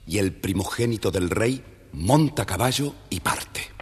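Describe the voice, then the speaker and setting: Casting: expressive male storyteller, Argentine accent, very breathy low voice, swooping intonation. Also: measured pace, long reverb tail. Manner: expressive, theatrical. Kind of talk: scripted children's story narration.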